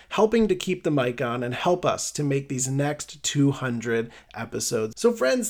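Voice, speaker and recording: A man's voice: a little high, a little mellow. A man with a Midwestern American accent hosting a faith-based podcast in an enthusiastic, effusive way. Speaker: podcast host. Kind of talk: podcast hosting and sponsor appeal.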